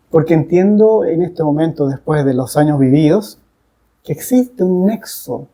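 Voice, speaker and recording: Podcast guest, male. Relaxed, mellow tone, unhurried and measured, pausing between short phrases. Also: on the high side. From Chile. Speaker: guest, English teacher. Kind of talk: reflective podcast conversation.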